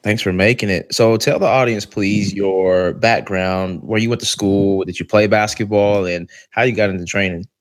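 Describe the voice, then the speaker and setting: A man's voice: lowish voice, steady tone, moderate pace, hardly any pauses. A man hosting a podcast who opens with an upbeat, welcoming intro and then interviews his guest. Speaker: podcast host.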